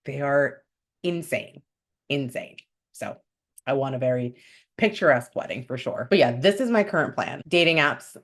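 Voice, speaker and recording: A woman's voice: a bass voice, lively intonation, normal speed. A young woman from upstate New York, raised speaking Spanish first, chatting candidly and upbeat to camera in a vlog. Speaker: vlogger. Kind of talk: casual chat to camera.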